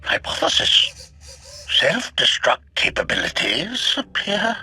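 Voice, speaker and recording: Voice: medium pitch, huge pitch swings, takes it slowly, bright, forceful tone, breathy edge, faint music behind, heavily acted, low-quality recording. An actor voicing a panicky, murderous assassin droid in a video game, announcing statements in clipped robotic phrasing. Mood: alarmed, menacing.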